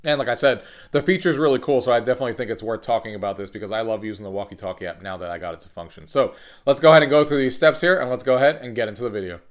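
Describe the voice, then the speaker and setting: A man with a mid-pitched voice hosts a casual tech tutorial. He talks quickly and walks through each step in an instructional manner, repeating key points for emphasis. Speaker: host of a tech tutorial channel.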